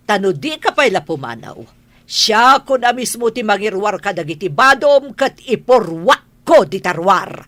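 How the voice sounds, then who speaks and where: A woman's voice: mid-range voice, sing-song, unhurried, fairly bright tone, dry recording. A woman playing a stern, haughty mother and mistress of the house in a radio drama, scolding and imperious. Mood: angry, imperious. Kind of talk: Ilocano radio drama acting.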